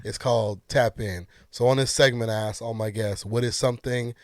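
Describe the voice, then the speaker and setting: A man's voice: on the low side, steady tone, moderate pace, no room sound, clean studio sound. Easygoing man with a stuffy nose. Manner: easygoing, affirming, laughs along. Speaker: podcast host. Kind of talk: casual chat. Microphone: headphones and studio mic.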